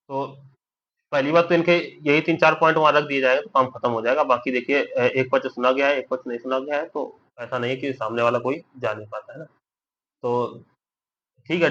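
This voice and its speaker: A man's voice: medium pitch, fairly bright tone. Young man, dull lo-fi audio. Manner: repetitive, with frequent check-in tag questions. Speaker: exam-guidance video creator. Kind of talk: informal direct-to-audience commentary.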